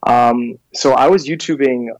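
A man's voice: medium pitch, a little slow, no room sound, muffled recording. A man in his thirties from Canada, a clothing brand founder, telling his story in a casual interview with many fillers and restarts. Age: 30s.

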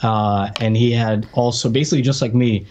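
An American man chats as a podcast co-host in a medium-pitched voice. He talks informally, telling anecdotes about himself and acting out the remarks he quotes. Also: steady tone, a little fast, darkish tone, slight background noise. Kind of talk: casual chat, personal anecdote.

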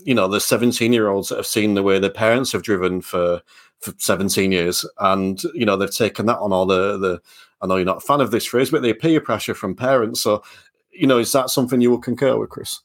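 A man's voice: lowish voice, steady tone, brisk. A man hosting a podcast, easygoing in how he moves the talk between guests. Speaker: podcast host. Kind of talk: casual chat.

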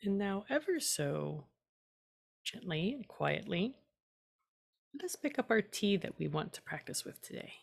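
A woman leading a guided meditation as a teacher, speaking in a calm, unhurried way with gentle instruction and long pauses. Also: medium pitch, creaky, husky.

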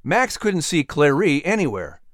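A man's voice: on the high side, sing-song, a little slow, no room sound, studio quality. A man narrating an audiobook story in a dramatic, scripted style.

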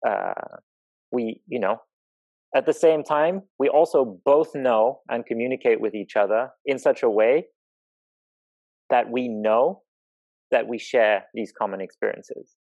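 A man from Zimbabwe speaking reflectively in conversation, thoughtful and halting as he searches for words. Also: mid-range voice, darkish tone, no room sound, lo-fi sound. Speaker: interview guest, professional climbing route setter.

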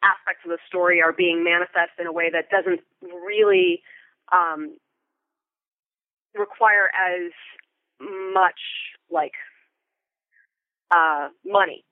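An American woman being interviewed as a Foley artist, explaining things thoughtfully and in detail, with halting pauses. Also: lowish voice, steady tone, no echo, narrow, tinny audio. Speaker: guest, theatre Foley artist. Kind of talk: interview conversation.

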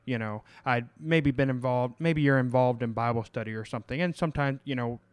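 A male podcast host talks casually about scripture, often stopping to restart his sentences or fill a gap with an "uh." His voice comes across smooth and clear, and the recording is close and dry, with no room sound. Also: mid-range voice, dry recording. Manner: halting, many fillers and restarts.

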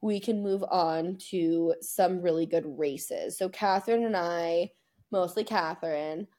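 A woman co-hosting a podcast, chatting casually about sports in an informal, slangy, excitable way. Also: fairly low pitch, unhurried. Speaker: podcast co-host.

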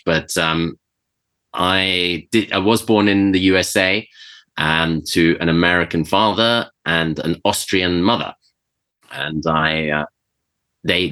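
A middle-aged man with a British accent speaks in a very low, bright voice with a forceful edge. He talks in a relaxed, reflective way, pausing on fillers and restarting phrases as he answers interview questions. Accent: British English. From Switzerland. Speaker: chef and podcast guest. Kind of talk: conversational interview.

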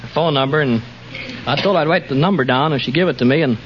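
An American man from Chicago gives casual answers as a quiz contestant. He talks fast in a slightly high voice. The old radio recording is dull and faintly noisy. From Chicago, United States. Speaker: quiz contestant, bus driver.

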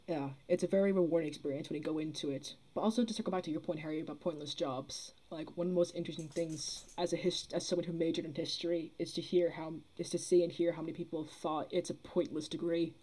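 A woman's voice: low voice, fairly even intonation, fast, mellow. A young woman in a casual discussion, earnest and rambling, repeating phrases as she builds her argument. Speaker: podcast guest, theatre producer.

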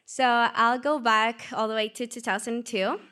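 A young woman from Peru with a Peruvian Spanish accent, telling her faith story in a lively way. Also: fairly high pitch, a little slow, clear, resonant tone. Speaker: testimony speaker. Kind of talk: personal testimony.

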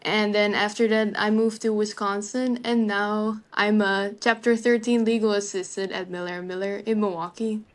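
A woman's voice: medium pitch, little pitch movement, normal speed, hardly any pauses. A young woman from the Netherlands, a native Dutch speaker, chatting easily in an interview and often using "like" and "kind of". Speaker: legal assistant, guest. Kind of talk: casual interview answers.

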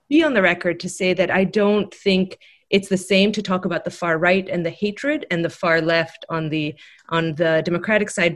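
Female progressive panelist from Greece, mid-range voice, thoughtful, self-qualifying delivery. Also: mid-range voice. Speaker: progressive panelist, former congressional candidate. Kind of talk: political discussion.

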